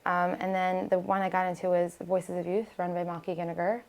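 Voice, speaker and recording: Chatty American woman, lowish voice. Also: little pitch movement, brisk, darkish tone. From United States. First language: Hebrew. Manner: chatty, many fillers and restarts. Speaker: podcast guest, singer. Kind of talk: casual interview talk.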